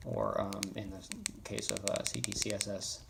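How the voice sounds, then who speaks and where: A man presenting an informal, off-the-cuff software tutorial, halting and rambling as he thinks aloud. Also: fairly low pitch, level tone. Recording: clearly noisy, with keyboard clicks.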